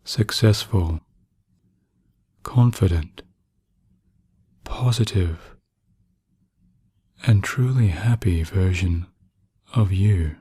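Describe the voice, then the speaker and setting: A man narrating guided sleep hypnosis in a soothing, hushed manner with long pauses. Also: deep voice, husky, dry recording, clean studio sound.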